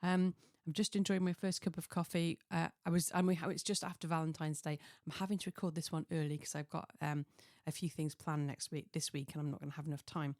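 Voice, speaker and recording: A woman with a fairly low, slightly croaky voice hosts a casual solo podcast. She rambles in a self-deprecating way and drifts into tangents. The sound is dry and close, from a small home office. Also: steady tone, fast talker, hardly any pauses, darkish tone, no echo. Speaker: podcast host.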